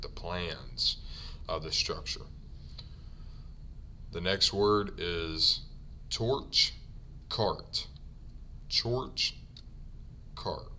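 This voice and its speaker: A low-pitched man with a bright, forward tone works through a vocabulary lesson at an unhurried pace, repeating each trade term slowly for learners before explaining it. A faint hum sits under the recording. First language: English. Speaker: ironworker union instructor. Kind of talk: slide-based vocabulary lesson.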